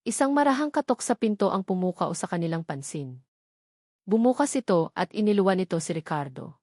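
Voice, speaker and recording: A woman's voice: mid-range voice, a little mellow, no room sound. Female story narrator, mid-range voice, scripted romance drama, very dry close recording.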